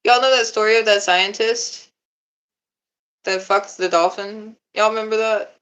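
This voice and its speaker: A young woman chatting on a live stream, laid-back and giggly, with a deeper voice who swears freely. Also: steady tone, measured pace, a bit bright. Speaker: livestreamer. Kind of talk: casual live-stream chat.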